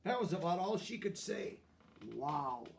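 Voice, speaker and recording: A man with a notably high voice reads a children's storybook aloud. He is animated and expressive, stopping to explain words and pictures to young listeners. The microphone sits some way from him. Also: unhurried, far from the mic, slight background noise. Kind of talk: expressive read-aloud narration with asides.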